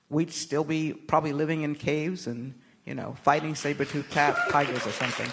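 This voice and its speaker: Older woman, American accent, low voice, interview guest, measured and emphatic, oratorical phrasing. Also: a bit bright. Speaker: interview guest, political activist. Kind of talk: live on-stage interview answers.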